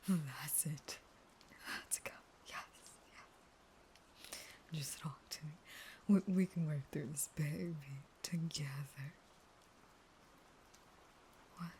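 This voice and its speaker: A woman playing a caged, starving vampire in an intimate audio roleplay, half-whispering in a slow, taunting, pleading and unstable way. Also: deep voice, dark tone, a little hiss or hum. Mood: hurt, angry.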